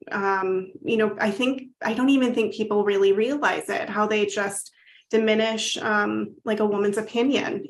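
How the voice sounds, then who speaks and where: A woman in her thirties speaks as a guest in a casual interview, her voice at an ordinary middle pitch. She talks earnestly and openly, with frequent "um" fillers as she gathers her thoughts. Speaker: guest, company founder.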